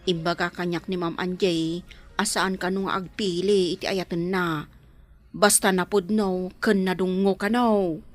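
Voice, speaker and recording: A woman's voice: on the low side, fairly even intonation, measured pace, no echo, faint music behind, lightly acted. A woman with an Ilocano accent playing a nosy, teasing household helper and confidante in an audio drama. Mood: conspiratorial.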